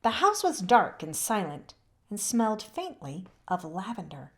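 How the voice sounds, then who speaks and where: A woman narrating a children's book aloud in an expressive storybook style. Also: medium pitch, unhurried.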